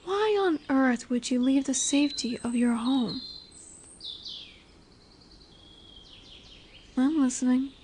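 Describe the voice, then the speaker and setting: A woman voicing a dominant lamia in a roleplay audio, curious and condescending yet nurturing, speaking deliberately with teasing, soothing near-whispers. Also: high voice, darkish tone, slight background noise, close to an everyday voice. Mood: gentle, indignant. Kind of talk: slow roleplay audio monologue addressed to the listener. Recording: birdsong and woodland ambience behind. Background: birdsong, forest ambience.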